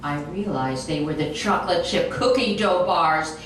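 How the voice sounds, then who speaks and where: A woman reading a comic short story aloud in an animated, expressive storytelling manner. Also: lowish voice, normal speed. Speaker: reader. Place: echoey room, recorded from a distance. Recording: very echoey room, distant mic, noisy.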